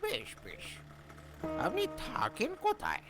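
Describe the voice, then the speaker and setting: A man's voice: high-pitched, wildly swooping pitch, slow, music in the background, exaggerated voice. A man playing a sly, menacing auto-rickshaw driver in a cartoon story. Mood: sly, evasive. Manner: sly, evasive, gruffly colloquial. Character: shady, menacing male auto-rickshaw driver, secretly a robber.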